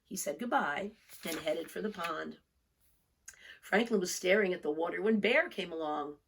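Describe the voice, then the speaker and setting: A woman reading a picture book aloud for young children, expressive and deliberate, with storytelling emphasis. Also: lowish voice, slight background noise. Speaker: storybook reader.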